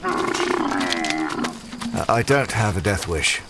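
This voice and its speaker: A man's voice: sits low, expressive, very slow, very noisy, full character voice. A man acting as an earnest, guilt-ridden scholar adventurer in an audio drama, with a hesitant, confessional manner. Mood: awkward, defensive.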